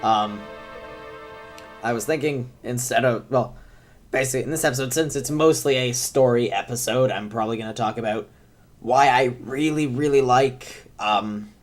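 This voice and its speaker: A man's voice: medium pitch, moderate pace, soft background music. A young man who is sick, talking in a rambling, self-deprecating way with many fillers as he comments on a video game. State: sick, deep voice. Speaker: gaming commentator. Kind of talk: let's play commentary.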